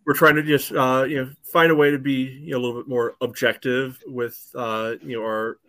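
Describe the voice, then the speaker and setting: A man with a mid-pitched voice talks through sports as a podcast co-host. His delivery is casual and chatty, padded with frequent "you know" as he explains things. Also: little pitch movement, fairly quick, a bit bright.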